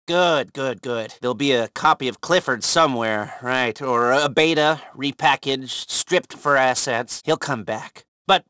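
An actor playing an excitable, neurotic young hacker in video game mission dialogue, rambling and sarcastic, stammering when flustered. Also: male voice, mid-range voice, unhurried, few pauses, smooth, dry recording, studio quality. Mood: subdued.

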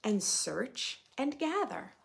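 A woman in her thirties reading a picture book aloud to preschoolers, expressively, in simple phrases with drawn-out key words. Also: on the high side, sing-song, vocal fry. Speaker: host of a children's read-aloud show. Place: indoor room with bookshelf and armchair.

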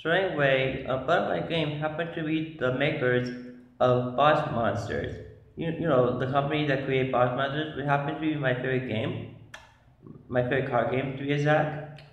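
A man in his thirties talking casually to camera as he gives a tarot reading, halting and repeating words. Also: medium pitch. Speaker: vlogger giving tarot readings. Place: small bare-walled room, indoors. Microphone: phone at arm's length. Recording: very echoey room, dull lo-fi sound.

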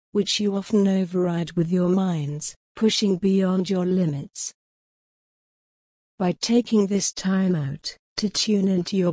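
A woman guiding a mindfulness meditation in a calm, gentle, unhurried way of instructing. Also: fairly low pitch, no echo, clean studio sound. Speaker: meditation guide. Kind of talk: guided mindfulness meditation narration.

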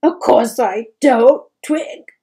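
A woman's voice: fairly high pitch, takes it slowly, mellow, voice pushed up. A woman voicing a tender mother wood troll who is weeping and speaks in a faltering, pleading way in a storybook reading. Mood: tearful. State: tearful.

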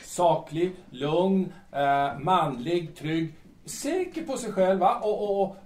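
In a distant, very echoey recording with faint noise, a man plays a grandiose young male playwright in an audio drama. His voice sits fairly high. He is agitated and theatrical, circling back over his own words. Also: lively intonation, a little mellow. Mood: mock admiring. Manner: agitated, theatrical, repeats himself.

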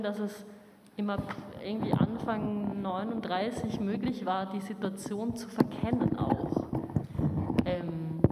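A woman from the audience makes a long comment, rambling and softening her points with fillers. She sounds distant in a roomy space with long reverb. Faint background noise hangs under her voice. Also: mid-range voice. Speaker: audience member. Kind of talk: discussion comment.

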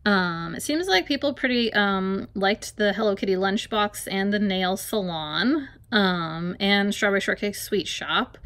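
A woman's voice: mid-range voice, unhurried, hardly any pauses, a bit bright. Casual woman with lots of ums. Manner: hesitant, many ums and likes. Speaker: app reviewer. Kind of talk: casual screen-recorded app review. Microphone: phone or camera filming a tablet screen.

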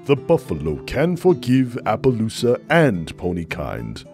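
A stately male buffalo chief from a cartoon , played by a man. He talks slowly and gravely, with words that feel ceremonial. His pitch rises and falls dramatically. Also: wildly swooping pitch, a little mellow, faint music behind. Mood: solemn. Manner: slow, grave, ceremonial speech. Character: solemn male buffalo chief, proud and formal. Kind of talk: fan animation voice acting.

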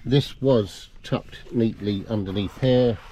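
A man's voice: on the low side, slow, very noisy. A middle-aged man giving a casual, plain-spoken how-to demonstration. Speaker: presenter of a car DIY video. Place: outdoors in a yard and inside a parked car.

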